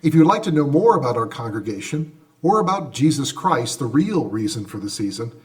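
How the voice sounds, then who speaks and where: A man's voice: mid-range voice, very animated, fairly quick. A man with an American accent, a pastor, reading a scripted radio announcement.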